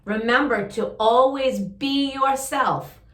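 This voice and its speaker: A woman reading a picture book aloud to young listeners, with expressive drawn-out emphasis and chatty asides. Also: sits high, roomy sound. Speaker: children's story-time host reading a picture book aloud.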